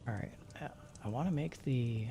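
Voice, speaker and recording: A man's voice: medium pitch, moderate pace. A man streaming casually, muttering to himself and often dropping to a half-whisper as he works through settings. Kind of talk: casual livestream chat while troubleshooting settings. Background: music.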